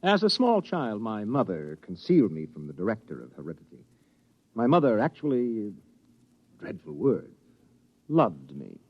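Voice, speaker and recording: An actor playing an educated, sensitive and bitter outcast mutant in 1950s radio drama, with theatrical, ironic, deliberate phrasing. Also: male voice, lowish voice, wildly swooping pitch, heavily acted. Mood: wistful. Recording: muffled old radio recording.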